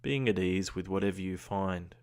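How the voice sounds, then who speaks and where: Deep-voiced man guiding a meditation. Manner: soothing, unhurried, gentle instruction with long pauses. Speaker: meditation guide. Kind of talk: guided body scan meditation.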